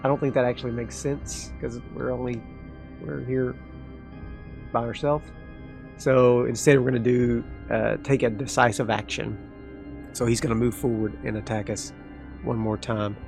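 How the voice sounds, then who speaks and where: A man talks his way through a solo tabletop game, reading dice results and rule text aloud. His voice has a gravelly edge and sits at an ordinary pitch. Faint music plays underneath. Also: moderate pace. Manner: talks through dice rolls, excitable asides. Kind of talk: solo actual play, thinking aloud.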